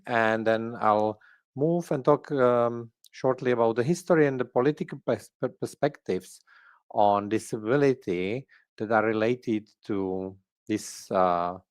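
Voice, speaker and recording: Low-voiced Czech man lecturing. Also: dry recording, clean studio sound. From Czech Republic. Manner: careful, hesitant, frequent fillers and restarts. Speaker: guest lecturer. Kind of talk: academic presentation.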